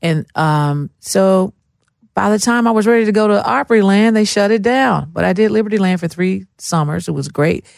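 A woman's voice: medium pitch, normal speed, vocal fry, a little mellow, no echo. Middle-aged woman, close dry sound. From Memphis, Tennessee, USA. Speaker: singer, interview guest. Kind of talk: casual interview storytelling.

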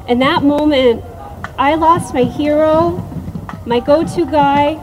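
Slow, grieving woman at a vigil. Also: high-pitched, background noise. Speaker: safety advocate. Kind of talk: speech at a vigil.

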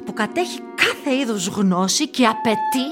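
A woman performing as the narrator of a dark occult radio play, with measured, ominous storytelling. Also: on the high side, sing-song, music in the background.

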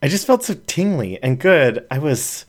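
A woman's voice: deep voice, sing-song, moderate pace. A reader voicing a shy young female raccoon who talks in hesitant, emotional bursts. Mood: shy, tender.

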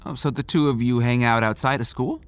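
Man acting as small-town sheriff, calm, fatherly, folksy, measured, firm, audio drama. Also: medium pitch, clean tone, close to an everyday voice. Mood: questioning.

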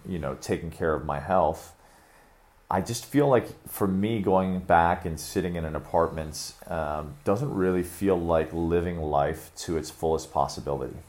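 A young man from Chicago hosting a podcast, talking through a reflective monologue in an earnest, measured way with frequent fillers and restarts. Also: low voice, a little mellow. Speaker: podcast host.

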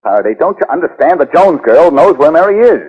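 A man's voice: fairly high pitch, big pitch swings, fast talker, slightly rough. A man playing a cool, wisecracking private detective in a 1940s radio drama, terse and wry with a commanding way of talking. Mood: exasperated. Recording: dull, muffled 1940s radio recording.

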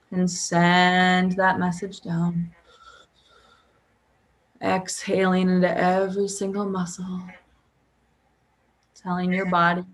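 Slow-paced woman guiding sleep hypnosis. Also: fairly low pitch, low-quality recording. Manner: calm, drawn-out pauses, audible breaths and hums. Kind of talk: guided sleep hypnosis, soothing relaxation script.

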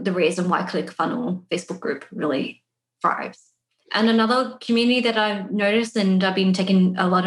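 A woman speaks as a podcast guest in a relaxed, chatty way, peppering her talk with "like." Her voice carries a noticeable room echo. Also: medium pitch. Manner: casual, filler-heavy with frequent "like". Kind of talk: conversational podcast interview. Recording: echoey room.

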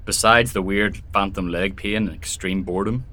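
A man's voice: low voice, steady tone, moderate pace, sharp tone. A man acting in an audio drama as a bored, cheeky patient on the mend, with a teasing, flippant way of talking. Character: cheeky, bored male patient recovering from leg surgery.

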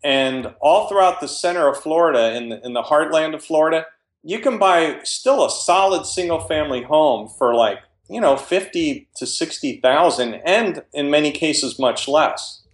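A man's voice: fairly high pitch, lively intonation, normal speed, roomy sound. A man, a real estate investor, talking casually in an interview and telling stories with frequent restarts. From New Jersey.

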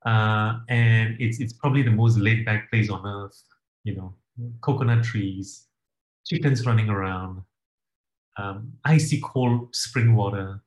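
A man's voice: fairly low pitch, unhurried. A man from Malaysia taking part in an online group session over a video call, chatty and reflective. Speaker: workshop participant. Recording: roomy video call audio.

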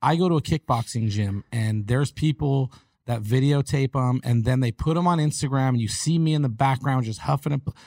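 A man's voice: mid-range voice, a little fast, darkish tone, no room sound. A man with a mid-range voice chats casually on a sports show and makes jokes at his own expense. His voice comes through dry and close. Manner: self-deprecating joking. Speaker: podcast co-host.

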